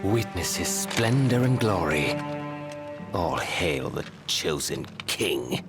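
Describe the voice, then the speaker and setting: Slow, deep, imperious commander. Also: male voice, sing-song, full character voice. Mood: scornful. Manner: imperious, formal, sneering. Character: haughty, menacing imperial commander scorning a chosen king. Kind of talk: video game cutscene acting. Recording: loud music under the voice.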